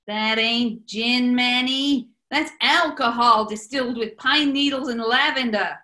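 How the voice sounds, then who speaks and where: A woman acts a scheming American gangster boss for a 1940s radio comedy. The voice is forceful and bright, set fairly high, in a roomy echo, with theatrical, wisecracking bossiness. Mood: menacing, mocking. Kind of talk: 1940s radio play acting.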